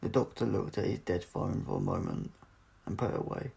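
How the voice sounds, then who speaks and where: Male audiobook reader, lowish pitch, very creaky, scripted narration, occasional stumbles. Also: fairly even intonation, fairly quick, warm tone.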